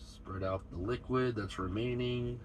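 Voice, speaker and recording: A man's voice: mid-range voice, a little slow, a little hiss or hum. A man with an American accent, a truck driver chatting casually to camera while he cooks in his cab. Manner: relaxed, rambling, talks to camera while cooking. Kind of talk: casual vlog chat while cooking. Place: inside a truck cab at a truck stop.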